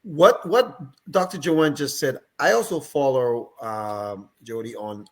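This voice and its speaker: A man from Dominica with a Dominican accent, a guest on a radio panel, speaking in a lively, emphatic way. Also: medium pitch, slow.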